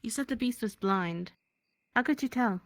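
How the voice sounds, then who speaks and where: A woman's voice: on the high side, expressive, normal speed, no room sound, studio quality. A woman roleplaying a town medic in improvised dialogue, speaking in a hesitant, matter-of-fact way. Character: town medic tending a wounded hunter.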